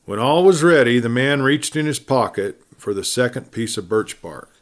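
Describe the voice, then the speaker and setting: A man reading a classic adventure tale aloud as a storyteller, in a measured, dramatic way with phrase endings trailing off softly. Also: medium pitch, animated. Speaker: audiobook narrator.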